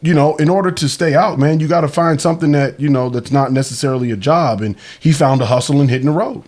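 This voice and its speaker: A man's voice: on the high side, fast talker. Laid-back man in his thirties, street talk. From Milwaukee, Wisconsin. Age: 30s. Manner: laid-back street slang, animated bursts. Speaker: podcast guest. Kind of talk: casual conversation.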